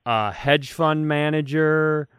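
A man's voice: on the high side, slow pace, a bit bright, smooth, dry recording, clean studio sound. A man co-hosting a comedy podcast, chatting casually and rambling enthusiastically through comic ideas.

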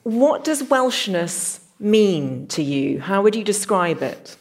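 A woman's voice: mid-range voice, big pitch swings, slow. A woman hosting a podcast interview, asking questions in an articulate, engaged, conversational way.

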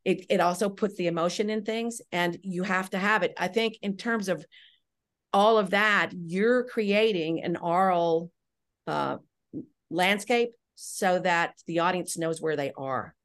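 Female interview guest, slightly low voice, explanatory and conversational, checks in often as she explains. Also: a little slow. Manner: explanatory, often checking with "right?" and "you know". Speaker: guest, audio drama writer-director.

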